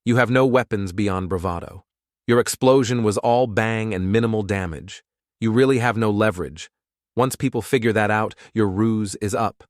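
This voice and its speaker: A man playing a calm, stubborn priest who captains a starship in an audio drama, speaking in terse, firm lines. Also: lowish voice, normal speed, no room sound, studio quality, lightly acted. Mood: firm, defiant. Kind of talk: fan audio drama acting.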